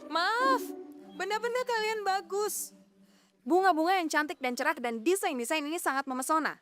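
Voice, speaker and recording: A woman's voice: very high-pitched, moderate pace, fairly bright tone, soft background music. A woman voicing a friendly, admiring young cartoon creature, polite and enthusiastic, in a children's animation dub.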